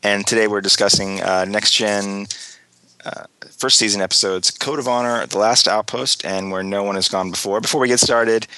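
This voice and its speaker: Hoarse, low-voiced man hosting a podcast. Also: fairly even intonation, normal speed, pushed and bright, rough recording. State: hoarse from a cold. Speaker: podcast host. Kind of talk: casual discussion.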